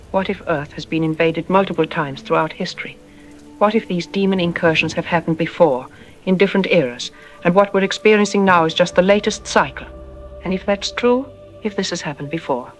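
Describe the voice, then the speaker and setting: A man playing a troubled archaeology expert in an apocalyptic audio drama news interview, speaking gravely and deliberately with ominous pauses. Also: high-pitched, lightly acted. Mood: urgent, ominous.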